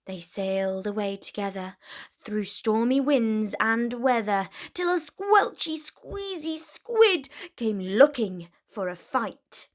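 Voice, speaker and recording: A woman hosts a children's read-aloud in a fairly high, highly animated voice. She goes slowly, leaning dramatically on key words as a storyteller does.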